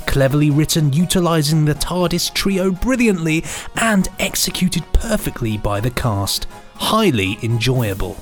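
Animated, jokey man co-hosting. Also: a little high, a little slow, soft background music. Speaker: podcast co-host. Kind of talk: casual banter.

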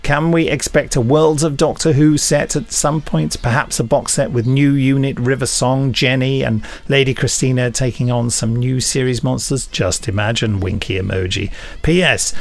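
A man in middle age with a noticeably creaky, mid-pitched voice hosts a casual podcast. He rambles good-humouredly through listener emails and pokes fun at himself. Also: normal speed, hardly any pauses. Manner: jokey, rambling, self-deprecating. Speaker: podcast host.

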